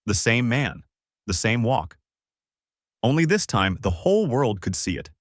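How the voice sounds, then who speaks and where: A man reading a scripted dramatic story in a measured, polished storyteller's delivery. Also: medium pitch, very animated, dry recording, clean studio sound. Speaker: narrator of an online story channel.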